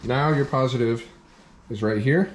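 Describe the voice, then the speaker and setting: A young man in a workshop, calmly walking through a hands-on repair step by step, with plain practical talk. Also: medium pitch, measured pace, a little hiss or hum. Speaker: off-road channel host demonstrating a repair. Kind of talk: hands-on how-to explanation. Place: indoor workshop at a workbench.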